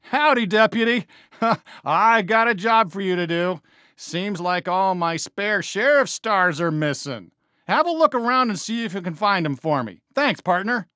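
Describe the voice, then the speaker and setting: A man's voice: sits high, lively intonation, unhurried, sharp tone, dry recording, clean studio sound, full character voice. A man voicing a friendly, upbeat toy cowboy sheriff for a video game, with an American accent and a folksy Western drawl. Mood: friendly, encouraging. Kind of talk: animated video game character lines.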